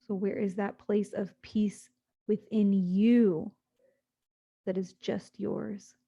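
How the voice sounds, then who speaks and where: A woman leading a guided sleep meditation, speaking slowly and soothingly with long pauses. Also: mid-range voice, level tone, vocal fry, husky, lo-fi sound.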